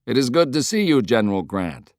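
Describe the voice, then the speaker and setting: A man's voice: mid-range voice, sing-song, brisk, darkish tone, dry recording, studio quality. A man voicing a self-assured army general in an audiobook, formal and politely insolent. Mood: smug courtesy.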